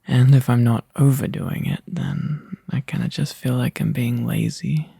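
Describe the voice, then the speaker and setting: A man playing a tired, overworked young boyfriend in a soft, intimate ASMR roleplay, halting and breathy, often dropping into a whisper close to the mic. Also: mid-range voice, steady tone, no echo. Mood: weary, apologetic. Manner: halting, drowsy, sighing delivery. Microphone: close microphone, dry.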